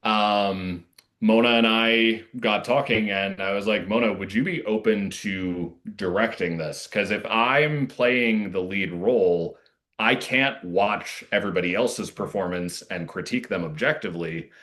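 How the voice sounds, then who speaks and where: A man's voice: on the low side, a little slow, a bit bright. A man talking casually and reflectively as an interviewed playwright, earnest and discursive, with many asides.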